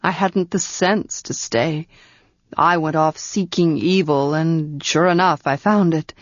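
A woman reading the part of a gentle, wise male wizard, who speaks in a quiet, soothing and measured way. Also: low voice, no room sound, voice pushed down. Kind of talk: audiobook character reading. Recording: dull, muffled sound.

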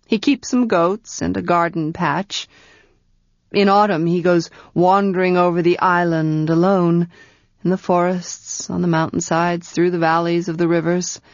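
A woman reading the part of a gentle, wise male wizard, who speaks in a quiet, soothing and measured way. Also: lowish voice, steady tone, no room sound. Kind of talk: audiobook character reading. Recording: dull, muffled sound.

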